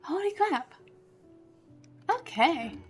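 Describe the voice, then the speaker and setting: A woman's voice: very high-pitched, sing-song, drawn-out words. A woman game streamer giving casual, chatty, giggly commentary as she plays. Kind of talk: casual live gameplay commentary. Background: game music.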